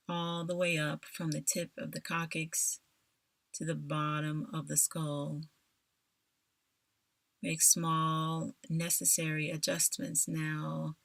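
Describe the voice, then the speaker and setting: Very slow, low, creaky-voiced woman guiding meditation. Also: no room sound. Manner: soothing, deliberate, with long pauses. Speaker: meditation guide. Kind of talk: scripted guided meditation.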